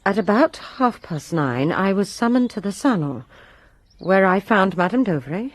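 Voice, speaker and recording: A woman's voice: medium pitch, very animated, normal speed, no echo. A woman playing a resentful lady's maid who gives her testimony with bitter emphasis in a radio drama.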